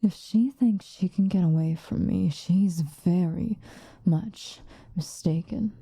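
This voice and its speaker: A woman playing a centuries-old female vampire, possessive and vengeful, in a soft, breathy, intense ASMR roleplay. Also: lowish voice, no room sound, full character voice. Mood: bitter, scornful anger. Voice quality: soft, breathy, often whispered. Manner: intense, dramatic, slow with long pauses.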